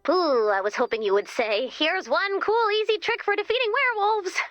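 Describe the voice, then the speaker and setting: A woman's voice: very high voice, moderate pace, fairly bright tone, highs cut off, faint music behind. A woman voicing a quirky, chirpy and playful commander character in a video game. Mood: upbeat, wry.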